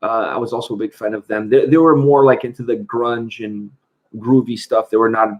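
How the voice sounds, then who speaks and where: A man's voice: lowish voice, fairly even intonation, a little fast, highs cut off. A man from Portugal hosting a casual livestream, chatting and answering viewer questions. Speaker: host.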